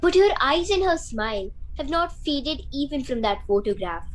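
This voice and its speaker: A woman's voice: sits high, sing-song, moderate pace, loud music behind the voice. A woman acting a bold, blunt mother and defiant houseguest in an amateur radio play, with a theatrical, emphatic delivery.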